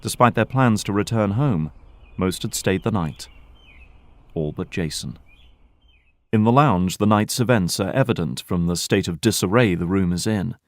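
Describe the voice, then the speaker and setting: Low-voiced male drama narrator. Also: normal speed, no room sound, studio quality. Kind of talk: scripted audio drama narration.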